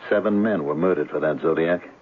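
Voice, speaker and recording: A man's voice: low-pitched, fairly quick, lightly acted. An actor playing a brusque, exasperated police lieutenant in a 1970s radio drama, scolding as he talks. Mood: stern warning. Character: gruff, exasperated male police lieutenant warning off a detective. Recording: muffled noisy old radio broadcast.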